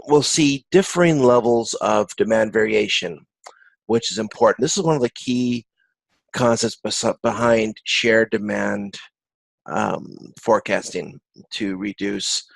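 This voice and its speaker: Low, creaky-voiced man lecturing. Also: no echo, rough recording. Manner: halting, explanatory, often rephrasing mid-sentence. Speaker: course instructor. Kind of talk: informal recorded video-conference lecture with slides.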